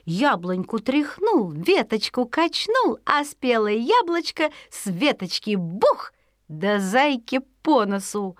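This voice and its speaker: A woman narrating a children's folk tale expressively, in a singsong folksy way with drawn-out repeated words. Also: fairly high pitch, sing-song, dry recording, clean studio sound. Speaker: storyteller.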